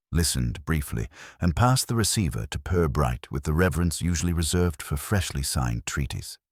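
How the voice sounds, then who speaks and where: Guy narrating a mystery story, super low husky voice, dry literary delivery. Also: dry recording.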